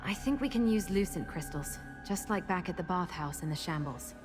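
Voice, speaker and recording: A woman's voice: fairly low pitch, animated, moderate pace, lightly acted. A woman voicing a practical, thoughtful adventuring companion in a video game, explaining things conversationally. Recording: loud music behind.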